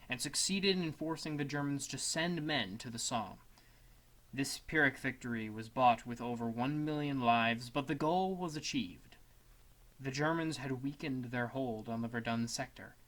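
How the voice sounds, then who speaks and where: A man narrating a scripted essay as a dramatic reading, in a solemn, measured manner. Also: medium pitch, bright, forceful tone. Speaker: student narrator.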